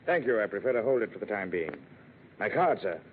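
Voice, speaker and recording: Pompous, animated businessman client. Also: lowish voice, a little fast. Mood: pompous, emphatic. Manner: pompous, florid, emphatic. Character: pompous, insistent male importer, a business client. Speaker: radio actor playing a client. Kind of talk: 1940s radio detective acting. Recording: noisy, narrow old radio recording.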